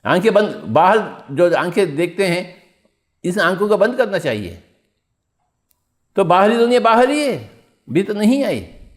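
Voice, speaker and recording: A high-voiced man delivers a spiritual discourse as a meditation teacher. He exhorts his listeners, repeating key phrases and putting rhetorical questions to them. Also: big pitch swings, measured pace, long pauses.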